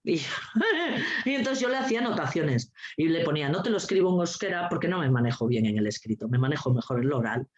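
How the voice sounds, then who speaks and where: Mujer sarcástica y directa, grabación apagada. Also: female voice, low voice, sing-song, fast. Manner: blunt, sarcastic, swears freely, laughs often. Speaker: guest speaker, teacher trainer. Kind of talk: lively anecdotal talk. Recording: dull lo-fi recording.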